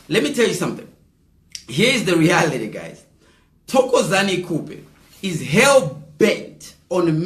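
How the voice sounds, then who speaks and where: A man in his thirties hosting a live political commentary stream, slightly hoarse, chatty and speaking straight to viewers. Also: fairly high pitch, fairly bright tone. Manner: chatty, addresses viewers directly, repeats calls. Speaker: host of an online political show. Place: indoor room, seated at a table. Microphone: fixed camera, mid-distance. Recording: roomy echo.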